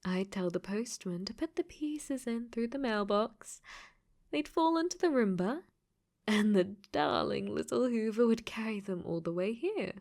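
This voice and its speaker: A woman voicing a clingy, possessive dating-sim AI in a robot body for an ASMR roleplay, sweetly menacing and breathy, often dropping to whispers. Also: a little high, lively intonation, a little slow, a little mellow. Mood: smug, playful. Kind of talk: ASMR audio roleplay acting.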